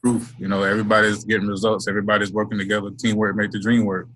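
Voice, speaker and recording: A man on a video call giving an earnest testimonial, a little halting and full of fillers. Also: lowish voice, level tone, a bit bright, no room sound. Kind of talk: casual testimonial.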